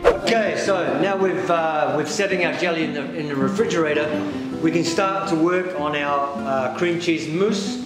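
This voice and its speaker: Echoey, higher-pitched man teaching pastry. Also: moderate pace. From England-born, raised in New Zealand. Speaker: pastry chef demonstrating a recipe. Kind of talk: step-by-step cooking demonstration. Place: indoor demonstration kitchen. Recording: very echoey room with loud music behind. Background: loud background music.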